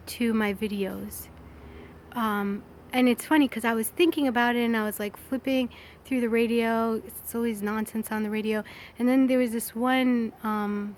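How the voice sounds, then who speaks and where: A woman's voice: mid-range voice, slight background noise. A woman in her thirties talking candidly and casually, rambling a little as she thinks aloud in a personal, reflective vlog. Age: 30s. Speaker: vlogger. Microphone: phone.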